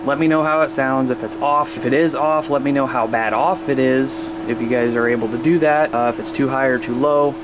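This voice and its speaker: A man's voice: medium pitch, steady tone, fairly quick, few pauses, background noise. A man hosting a casual hobby vlog, talking to viewers in a folksy, rambling way. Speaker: hobbyist video host.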